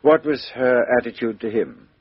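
A man playing a blunt middle-aged police inspector in a 1950s radio drama, brisk and direct, with a husky voice on a dull old recording. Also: mid-range voice, no room sound, close to an everyday voice. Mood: questioning.

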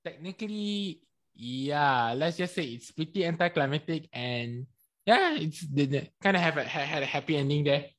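A man's voice: on the high side, animated, normal speed. A young man from Malaysia with a Malaysian English accent, co-hosting a casual chat and rambling with repeated words. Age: young adult. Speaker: podcast co-host.

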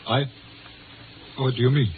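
A man's voice: mid-range voice, fairly even intonation, unhurried, natural voice. An actor playing a stern, fearful Chinese restaurant-owning father, speaking formally and sententiously in a 1950s radio drama. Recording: noisy, tinny 1950s radio recording.

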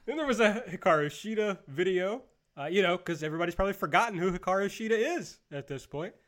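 A man with a fairly high speaking voice hosts a casual review discussion. He recaps in a conversational way and gives his opinions bluntly. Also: lively intonation, fast, fairly bright tone. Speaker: host of a wrestling review show.

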